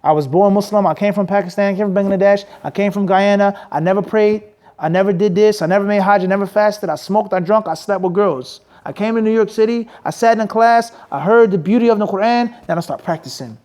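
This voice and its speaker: A man's voice: high voice, a little fast, a little mellow. A man teaching in a mosque, preaching emphatically and asking the crowd rhetorical questions. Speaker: Islamic teacher. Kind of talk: lecture with Q&A.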